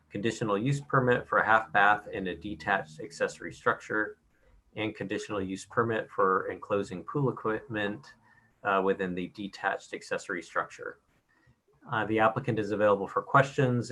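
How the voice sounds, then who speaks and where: Bright, low-voiced male planning staffer. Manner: hesitant, many uh and um fillers. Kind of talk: presenting a staff report at a public meeting. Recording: video call audio.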